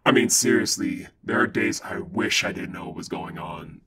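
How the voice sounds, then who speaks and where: A man playing a deep-voiced, exasperated cynic. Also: normal speed, a loud crowd, close to an everyday voice. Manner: exasperated and weary. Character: exasperated man, tired of it all.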